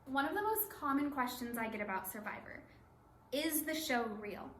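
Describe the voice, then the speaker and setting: A woman's voice: sits high, lively intonation, moderate pace, fairly bright tone. A woman performing a rehearsed, conversational monologue in a readers theatre piece. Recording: roomy stage recording with background hiss.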